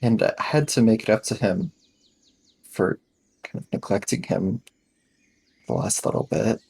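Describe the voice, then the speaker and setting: Man playing a husky, terse schoolboy. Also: on the low side, expressive, a little slow, darkish tone, exaggerated voice. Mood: defensive. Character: matter-of-fact, defensive boy in 1980s sci-fi mystery. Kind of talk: improvised in-character tabletop roleplay.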